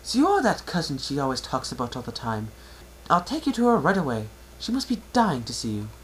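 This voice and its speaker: Casting: male reader as refined female cartoon pony, fussy and genteel, voice pushed high, noisy home recording. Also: huge pitch swings, fairly quick. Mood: eager, helpful. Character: refined, ladylike female pony boutique owner.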